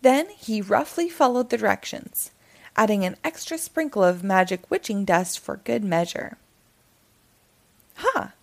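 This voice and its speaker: A woman reading a children's picture book aloud in an animated storytelling style. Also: mid-range voice, normal speed, vocal fry.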